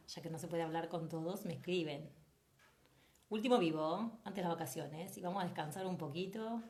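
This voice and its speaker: A woman's voice: lowish voice, a little hiss or hum. A woman with an Argentine accent hosting a casual live stream, greeting viewers and chatting with her guest. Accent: Argentine Spanish. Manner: lively, greeting viewers warmly. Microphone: video call. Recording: roomy echo.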